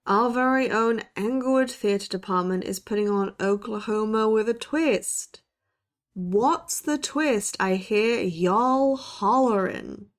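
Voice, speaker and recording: A woman's voice: medium pitch, close to an everyday voice. A woman playing a folksy, showy theatre-department announcer in an audio drama commercial, drawing out words teasingly. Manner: folksy cowgirl hollering, teasing, drawn-out delivery.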